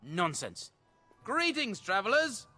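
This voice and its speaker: A man's voice: high-pitched, wildly swooping pitch, takes it slowly, pushed and bright, faint music behind, heavily acted. An actor playing a smug male bandit leader in a fantasy game, glib and theatrical with false courtesy.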